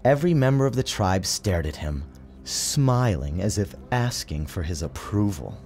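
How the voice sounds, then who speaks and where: Deep-voiced man giving a dramatic storybook reading. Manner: theatrical, measured, expressive. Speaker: author narrating his own story. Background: music.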